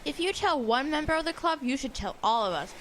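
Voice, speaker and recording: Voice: high voice, expressive, a little fast, fairly bright tone. A young performer playing a laid-back, slangy kid in a radio drama. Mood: casual, protesting. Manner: slangy, casual. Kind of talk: youth radio drama acting. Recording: noticeable background noise.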